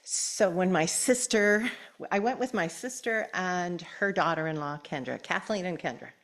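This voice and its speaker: An older woman telling a personal story at a church gathering and reading her own poem aloud, with gentle laughter as she talks. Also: lowish voice, moderate pace. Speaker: church speaker.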